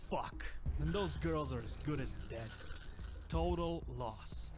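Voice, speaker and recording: A shady, streetwise game character, aggrieved and mercenary. The voice sits fairly high and talks tough and slangy. Music plays clearly behind it. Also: male voice, takes it slowly, darkish tone. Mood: grim, vengeful. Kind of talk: video game dialogue acting.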